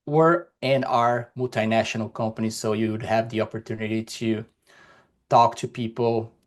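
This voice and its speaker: A man from Brazil, a native Portuguese speaker with a Brazilian accent, speaking as a podcast guest over a video call in a deliberate, encouraging way with many fillers. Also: lowish voice, steady tone. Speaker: guest, security executive. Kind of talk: podcast interview. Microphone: remote video call.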